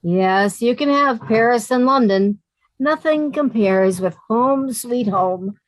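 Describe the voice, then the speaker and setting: Lady reading a level-headed young woman, slightly high voice, dry close sound. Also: takes it slowly, dry recording, low-quality recording. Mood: wry, friendly. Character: sensible young woman narrator, wry. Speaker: amateur radio play actor. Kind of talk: reading old radio comedy narration.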